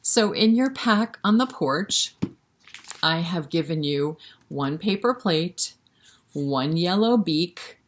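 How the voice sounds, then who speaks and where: A woman with a slightly low voice leads a story time for young children. She takes her time, reading expressively and pausing to put questions to the listeners and encourage them. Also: animated. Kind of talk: animated picture-book read-aloud with asides to children.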